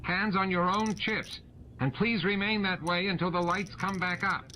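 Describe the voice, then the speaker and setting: A man voicing a loud, bossy, obnoxious alien barkeeper in an audiobook, barking out orders. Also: sits high, steady tone, normal speed, a little hiss or hum, pitched above the performer's own voice, rough recording. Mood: bossy, irritated. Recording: music under the voice.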